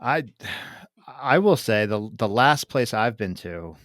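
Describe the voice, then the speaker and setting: An American man co-hosting a podcast, speaking casually in measured, slightly hesitant phrases. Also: on the low side, animated, a bit bright, no room sound, clean studio sound. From United States. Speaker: podcast co-host. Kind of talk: casual conversational.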